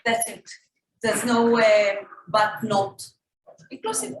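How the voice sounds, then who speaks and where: A woman's voice: medium pitch, little pitch movement, slow pace. A woman born in Israel, an activist answering questions on a workshop panel in an insistent, emphatic way. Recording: echoey room, faint noise.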